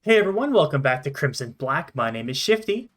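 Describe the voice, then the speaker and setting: A man's voice: a little high, big pitch swings, a little fast, a bit bright. A man from Canada hosting a gaming show with casual, sweary gameplay commentary. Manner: swears freely.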